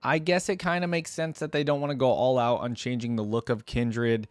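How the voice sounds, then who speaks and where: A man hosting a reaction video in a dry, close-sounding recording with faint music behind him. His pitch is medium for a man, and he talks informally, working out his thoughts aloud as he comments. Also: fairly even intonation, brisk, clean tone, no room sound. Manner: informal, thinking out loud.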